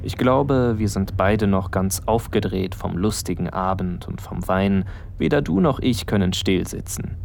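A man's voice: deep voice, measured pace, gravelly, hardly any pauses, darkish tone, a little hiss or hum. An actor playing a smitten young male best friend, narrating an intimate audio drama in the first person, musing and addressing the listener as you. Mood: tipsy, flustered.

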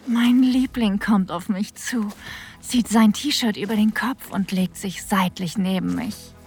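An actress playing an aroused woman who narrates an intimate audio drama in a breathy, sensual, partly whispered voice. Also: medium pitch, a little slow, a little mellow, soft background music, close to an everyday voice.

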